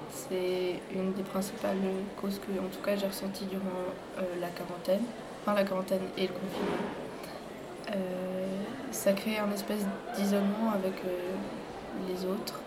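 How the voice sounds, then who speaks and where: A woman's voice: mid-range voice, flat delivery, moderate pace. A woman answering an interview question, hesitant with fillers. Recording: noisy background.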